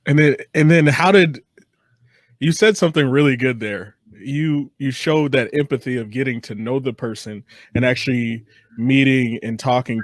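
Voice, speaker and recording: A man's voice: mid-range voice, dry recording. Young guy podcast host, chatty interview, affirming, feels out his questions, real dry close sound. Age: young adult. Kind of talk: casual conversational interview.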